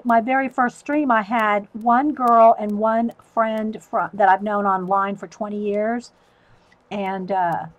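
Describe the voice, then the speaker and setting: A woman's voice: medium pitch, low-quality recording. A middle-aged woman, chatting casually with viewers while she draws, laughing often. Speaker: artist livestreamer. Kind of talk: casual chat while drawing.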